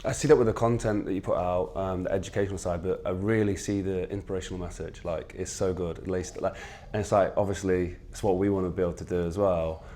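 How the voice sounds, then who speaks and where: Deep fast-talking male interviewer. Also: fairly even intonation, dark tone, a little hiss or hum. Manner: casual, filler-heavy. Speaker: interviewer, show co-host. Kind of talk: casual interview questions.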